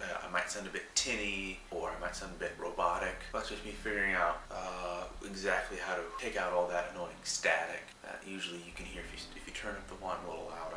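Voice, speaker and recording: Young man, slightly raspy cold voice, bright edge, rambling filler-heavy vlog talk, faint electrical buzz. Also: low-pitched. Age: young adult. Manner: rambling, many fillers and restarts. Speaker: vlogger. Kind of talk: casual talk to camera. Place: small home room at night. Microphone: camera a few feet away. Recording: roomy, distant mic, faint buzzing hum.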